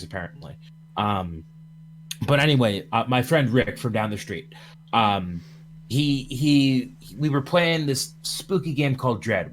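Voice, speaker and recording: Low-voiced man hosting a casual stream. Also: poor audio. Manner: rambling, jokey. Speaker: podcast host. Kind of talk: casual livestream chat.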